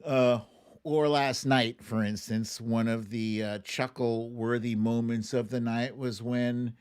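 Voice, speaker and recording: A man hosting a livestream with casual commentary, speaking in a halting, conversational way with pauses and emphatic stresses. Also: medium pitch, fairly even intonation, a little mellow, clear, resonant tone. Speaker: host of a livestream show.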